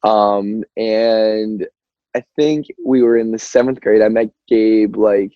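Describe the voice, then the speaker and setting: Young American male band singer, low voice, rambling casual interviewee in a roomy recording. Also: steady tone, slow. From Albany, New York, United States. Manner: rambling, peppered with "like" and "you know", laughs easily. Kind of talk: casual chat. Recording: muffled, roomy call-like audio.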